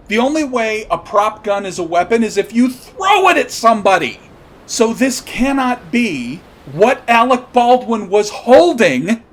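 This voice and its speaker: A man's voice: high voice, a bit bright. A man delivering an opinionated commentary monologue, emphatically spelling out and repeating his points. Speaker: podcast host commentator.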